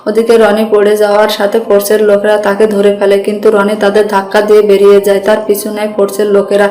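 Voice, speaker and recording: Very animated woman narrator, roomy sound. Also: medium pitch, fast talker. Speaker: story narrator. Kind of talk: dramatic scripted story narration. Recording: roomy echo with music bed.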